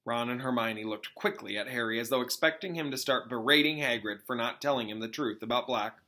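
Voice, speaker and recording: A man reading a storybook aloud as a teacher, pausing to explain things simply and casually. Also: medium pitch, sharp tone. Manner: explains simply to young listeners.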